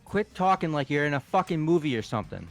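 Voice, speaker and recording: A man voicing an aggressive, hot-headed young thug in a fan-voiced game, loud and confrontational. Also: on the high side, expressive, fast talker, faint music behind. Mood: furious. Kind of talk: amateur voiced video game playthrough.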